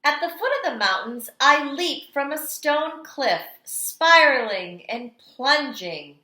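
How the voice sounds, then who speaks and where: Female kindergarten teacher for picture-book read-aloud: bright, slow, expressive and dramatic, recorded in a roomy, echoey space. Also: sits high. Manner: dramatic, deliberate delivery with strong swells and hushed endings.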